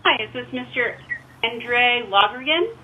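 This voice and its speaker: An American woman, an office supervisor, speaking politely and officially in a measured way on a formal phone call. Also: on the high side, noisy background. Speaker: county attorney's office supervisor. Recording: narrowband telephone line.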